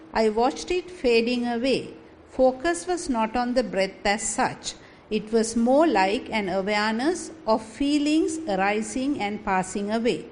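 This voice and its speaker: A woman reading her meditation report aloud in a steady, even way. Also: fairly high pitch. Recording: light background noise.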